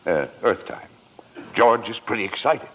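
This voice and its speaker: A man's voice: lowish voice, lively intonation, measured pace, husky, full character voice. A man acting in a radio drama as a heavenly being who tells the story with conversational concern. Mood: grave, concerned. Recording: muffled, long-reverb radio recording with music.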